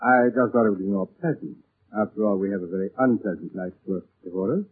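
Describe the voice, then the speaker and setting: A courteous, cold criminal schemer in an old radio thriller. His husky voice delivers menace with polite understatement. The recording is muffled and narrow, from the 1940s. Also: lowish voice, fast. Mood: smooth, scheming.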